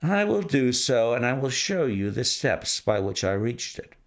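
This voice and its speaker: A man voicing a calm, stern male detective who speaks in a measured, authoritative way. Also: medium pitch.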